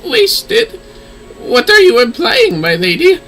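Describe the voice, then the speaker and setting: A man voicing a flustered, excitable astrology teacher from a game, dramatic and easily shocked. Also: extremely high pitch, slow, soft background music, pitched above the performer's own voice, heavily acted. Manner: stammering, dramatic. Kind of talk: game dialogue read-aloud.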